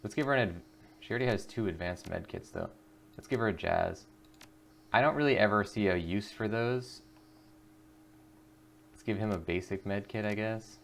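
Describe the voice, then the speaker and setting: A man's voice: lowish voice, moderate pace, vocal fry, long pauses, a little hiss or hum. A man hosting a gaming video, giving casual commentary and reading the game's text aloud. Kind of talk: casual game commentary with read-aloud narration.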